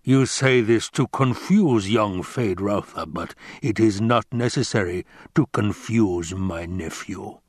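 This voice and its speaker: A man voicing a fat, scheming baron in an audiobook, with a rumbling, growling bass and a grandiose, savoring delivery. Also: slow pace, hardly any pauses, no room sound, studio quality. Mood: dismissive. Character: fat scheming villainous baron, pompous and menacing.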